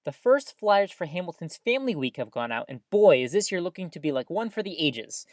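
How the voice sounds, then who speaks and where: Woman acting as earnest community radio host, lowish female voice, lively melody, folksy, cheery, dry studio sound. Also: brisk, no room sound. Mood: cheery, promotional. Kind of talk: scripted community radio announcing with banter.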